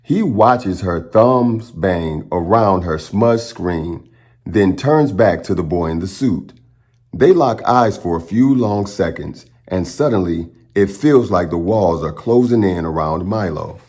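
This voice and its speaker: Low-voiced man reading a picture book expressively. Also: lively intonation, darkish tone. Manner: dramatic, pausing phrasing, speaks to young listeners. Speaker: children's storytime reader and channel host.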